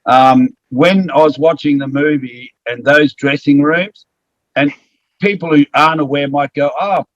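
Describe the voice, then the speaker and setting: A man's voice: a little high, measured pace, no room sound, muffled recording. A man from Australia hosting the interview, an enthusiastic former wrestler who exclaims often. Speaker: host, former wrestler and voice actor. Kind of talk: casual interview chat.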